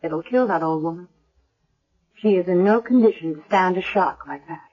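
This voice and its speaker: A woman's voice: lowish voice, moderate pace, darkish tone, close to an everyday voice. An actress playing an earnest, worried woman doctor in a 1970s radio drama. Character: concerned woman hospital doctor. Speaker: hospital doctor. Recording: dull lo-fi vintage radio recording.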